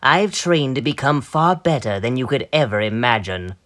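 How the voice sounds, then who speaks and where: A man's voice: medium pitch, lively intonation, moderate pace, a bit bright, close to an everyday voice. A man voicing a vain, sadistic alien tyrant from an anime, theatrical and mockingly polite as he taunts and gloats. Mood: gloating, threatening. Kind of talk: anime villain voice demo, acted character lines.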